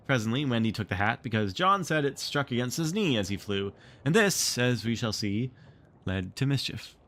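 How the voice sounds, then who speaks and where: A man narrating a storybook read-along with expressive, theatrical storytelling. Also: mid-range voice, moderate pace. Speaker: streamer reading a book aloud.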